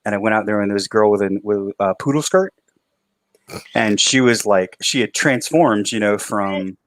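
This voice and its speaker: A man with a baritone voice and a bit of a twang, telling rambling stories in a casual late-night stream chat. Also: moderate pace, fairly bright tone. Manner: rambling storyteller, restarts a lot. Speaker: stream co-host.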